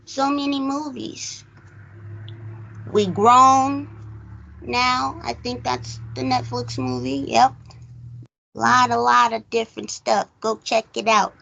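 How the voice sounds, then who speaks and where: A woman's voice: on the high side, steady tone, slow, gravelly, long pauses, bright, forceful tone, dull audio, a little hiss or hum. A woman hosting a casual movie review chat, speaking emphatically and with strong opinions, often repeating phrases for stress. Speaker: host of a movie review show.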